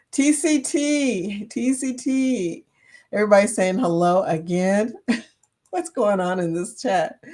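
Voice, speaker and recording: A middle-aged woman hosting a casual live chat, reading viewer comments aloud and laughing often. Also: medium pitch. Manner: laughs often, chatty and animated, reads out comments. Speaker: livestream chat host.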